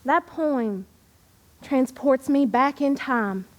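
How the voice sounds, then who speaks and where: Woman playing a high-voiced, slow-speaking nostalgic poet of yesteryear. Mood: fond, nostalgic. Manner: dramatic, deliberate, expressive delivery with long pauses. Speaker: historical reenactor reciting poetry. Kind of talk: theatrical first-person living-history monologue with verse recitation.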